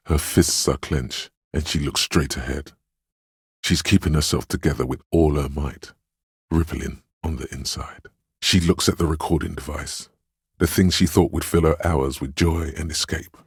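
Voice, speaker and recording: A man voicing a sensitive inner voice in a video game, narrating other people's feelings in a measured, gentle, literary way. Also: very deep voice, breathy edge, no room sound, studio quality, exaggerated voice.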